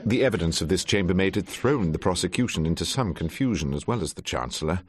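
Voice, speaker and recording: A man's voice: sits low, lively intonation, quick pace. An actor playing a world-weary English barrister who narrates his own story in a dry, droll way for radio. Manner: droll, wry. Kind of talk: first-person radio drama narration.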